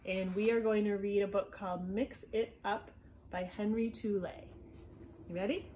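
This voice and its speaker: A woman in her thirties reading a picture book aloud to young children at home, gently encouraging them and prompting with questions. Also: mid-range voice, fairly even intonation, moderate pace, a little hiss or hum, poor audio. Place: small living room at home, indoors.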